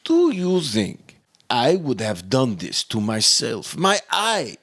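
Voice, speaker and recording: Radio-play villain, male actor: cornered chemist, desperate and stammering, slow delivery with big pitch swings. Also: wildly swooping pitch, fairly bright tone, heavily acted. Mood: indignant. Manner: halting, protesting, stammering. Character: cornered murderous foreign chemist, desperately bluffing. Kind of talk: old-time radio detective drama acting.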